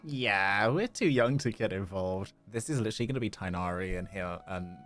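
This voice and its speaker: A man's voice: lowish voice, moderate pace, fairly bright tone, soft background music. A man live-streaming a game and commenting casually.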